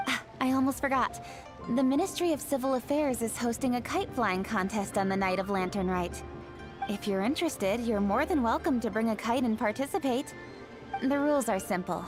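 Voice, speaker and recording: A woman as a poised young lady, fairly high-voiced. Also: close to an everyday voice. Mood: gracious. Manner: polite, composed, explanatory. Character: poised, knowledgeable young noblewoman, polite and helpful. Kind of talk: scripted video game dialogue. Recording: game music behind the voice.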